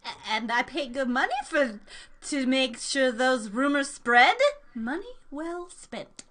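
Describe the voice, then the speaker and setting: Casting: scheming mature queen, very high bright voice, theatrical and sarcastic, for comic radio drama. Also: animated, slow, full character voice. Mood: snide. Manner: theatrical proclamations with snide asides. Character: haughty scheming older queen, falsely gracious, sarcastic mother. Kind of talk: comic radio play acting.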